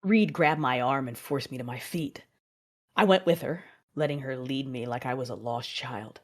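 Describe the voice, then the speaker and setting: A woman playing a grieving female Jedi and mother, narrating her own tragedy in an emotive, measured audio drama style. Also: a bass voice. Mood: somber recounting. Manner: emotive, measured storytelling with anguished dialogue.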